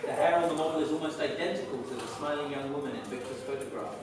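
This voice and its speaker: A man's voice: on the high side, quick pace, a crowd in the background. A man reading stage directions as scripted narration at a staged reading. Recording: very echoey room, distant microphone.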